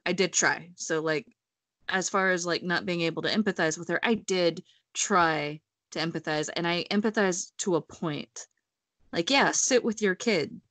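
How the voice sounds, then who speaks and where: A woman's voice: on the low side, moderate pace, fairly bright tone, no echo. A woman chatting informally about a book, in a hesitant, self-deprecating way. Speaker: book read-along panelist. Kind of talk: casual chat.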